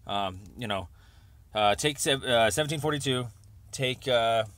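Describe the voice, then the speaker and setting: A man leaving an informal, rambling voicemail over the phone, speaking haltingly as he pieces his thoughts together. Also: medium pitch, fairly bright tone, no room sound. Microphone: telephone.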